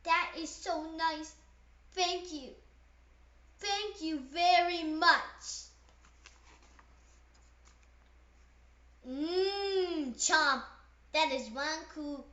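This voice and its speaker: Casting: woman as a jealous storybook pigeon, dramatic and whiny, very high, roomy sound. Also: very slow, sharp tone, exaggerated voice. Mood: grateful. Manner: dramatic, indignant, whiny ranting. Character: excitable, jealous, pleading pigeon from a picture book. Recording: roomy echo, slightly dull sound.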